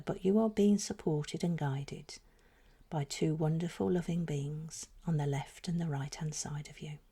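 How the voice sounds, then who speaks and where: Breathy, low-voiced woman guiding meditation. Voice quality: soft, breathy, often trailing into near-whisper. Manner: slow, soothing, deliberate phrasing with pauses. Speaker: spiritual meditation guide. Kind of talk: guided meditation.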